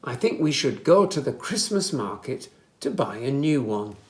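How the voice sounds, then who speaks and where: A storyteller playing a kindly, polite old grandfather in a children's storybook, gently reassuring in manner. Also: medium pitch, very animated, moderate pace, echoey room, lightly acted. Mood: mildly firm.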